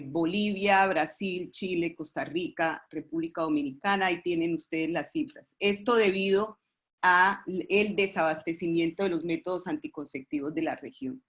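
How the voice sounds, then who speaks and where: A woman with a Colombian accent giving a formal webinar presentation as an expert, often halting and repeating words as she goes. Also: lowish voice. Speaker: expert presenter at a webinar, representing a pediatric committee.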